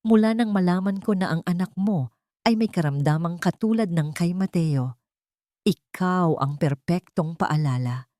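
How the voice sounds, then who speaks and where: A woman's voice: on the low side, measured pace, mellow, dry recording, natural voice. A woman with a Filipino accent voicing a scheming, venomous rich female villain in a dramatic audio storybook. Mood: cold scorn. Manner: menacing, taunting, calculated.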